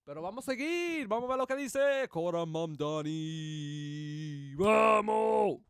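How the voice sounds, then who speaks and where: Man reacting on a livestream, mixes english and spanish, kinda high voice, dead dry room. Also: animated, takes it slowly, clear, resonant tone, no echo. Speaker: livestream host. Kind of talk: casual reaction commentary.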